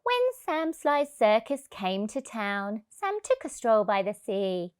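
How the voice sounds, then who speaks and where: A woman's voice: mid-range voice, big pitch swings, slow pace, clear, resonant tone. A woman reading a rhyming picture book aloud expressively for young children.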